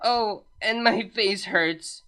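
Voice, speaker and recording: A woman voicing a weary female pony princess who complains slowly and flatly of constant pain. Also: mid-range voice, smooth, soft background music. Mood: monotone misery. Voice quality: slow, droning, flat complaining.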